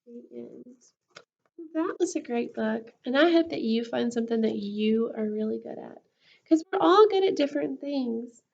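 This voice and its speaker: A woman reading a children's story aloud in an expressive, animated way, like a school counselor with a class. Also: fairly high pitch, moderate pace, gravelly, muffled recording.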